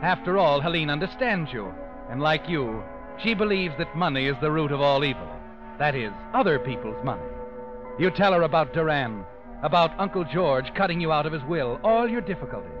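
An actor playing a sardonic, knowing suspense narrator who needles the character directly, in 1940s radio style. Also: male voice, a little high, lively intonation, fairly quick, natural voice. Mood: wry, knowing. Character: sardonic male narrator needling the guilty man. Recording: dull old radio recording over music.

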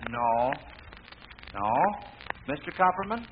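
A man's voice: mid-range voice, sing-song, slow. A man hosting a 1940s radio quiz show, addressing contestants in a formal announcer's manner. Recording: noisy lo-fi vintage radio broadcast.

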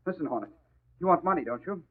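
An actor playing a nervous, cornered crooked lawyer in a 1940s radio drama, defensive and jittery. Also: male voice, on the high side, expressive, quick pace, husky. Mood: nervous, evasive. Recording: muffled, narrow-band old radio broadcast.

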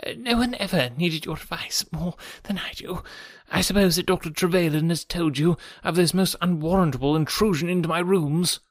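Man putting on a reedy, quivering, frightened gentleman. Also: fairly high pitch, moderate pace, hardly any pauses, dry recording, studio quality, voice pushed up, natural voice. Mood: nervous, evasive. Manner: agitated, fussily polite. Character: nervous, jittery, very fat sickly middle-aged gentleman, frightened. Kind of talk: audiobook character voice.

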